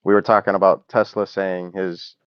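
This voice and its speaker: A man's voice: sits low, flat delivery, moderate pace, clear, resonant tone, no echo. A man explaining his position on an online call, curt, insistent and technical. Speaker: debater on a call. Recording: dry, muffled call audio.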